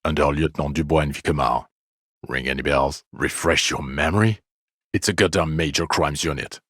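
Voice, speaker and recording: A male actor playing a bitter, exasperated, foul-mouthed police detective in a video game, scolding with weary sarcasm and contempt in a gravelly voice. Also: a bass voice, normal speed, a bit bright, dry recording, clean studio sound. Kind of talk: video game character dialogue.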